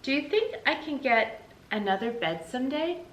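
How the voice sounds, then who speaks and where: A woman's voice: high-pitched, lively intonation, normal speed, a bit bright, voice pushed up. A woman voicing a sleepy, needy little sister in a children's book read-aloud. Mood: plaintive. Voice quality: slurry, drowsy. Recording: roomy, noticeable background hiss.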